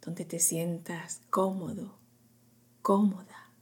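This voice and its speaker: A woman guiding a meditation in a soft, whispery, soothing voice, in short hushed phrases with long pauses. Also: fairly low pitch, level tone. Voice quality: breathy, whispery. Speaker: meditation guide.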